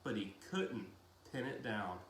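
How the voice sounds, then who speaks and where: A man reading a novel aloud in a deliberate storytelling style with dramatic pauses. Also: on the low side. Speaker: reader of a children's novel read-aloud. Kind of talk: audiobook-style narration with brief casual asides. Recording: roomy echo, faint background noise.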